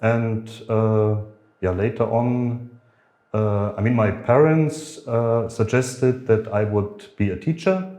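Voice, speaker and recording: A middle-aged man, a podcast guest who talks in a halting way, full of fillers and restarts. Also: lowish voice, warm tone, echoey room. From Germany. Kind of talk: conversational podcast interview.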